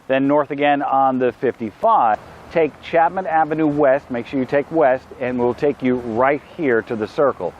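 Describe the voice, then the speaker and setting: A man at a mid pitch narrates a short travel piece from a script, in an inviting tone and with plain directions. The recording is somewhat dull and lo-fi, with faint noise behind him. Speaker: program host.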